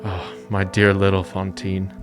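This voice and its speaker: An actor playing a gentle, protective fugitive convict who pleads with quiet dignity in a radio drama. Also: male voice, sits low, completely flat delivery, a little slow, music in the background. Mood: tender, soothing.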